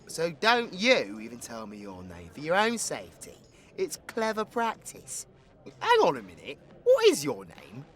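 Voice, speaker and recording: A man's voice: a little high, wildly swooping pitch, measured pace, a bit bright, exaggerated voice. A man playing a cocky, crude, flirtatious British supervillain in an audio roleplay, brash, teasing and theatrical with slangy, sweary talk. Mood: low, reassuring, then exasperated ranting.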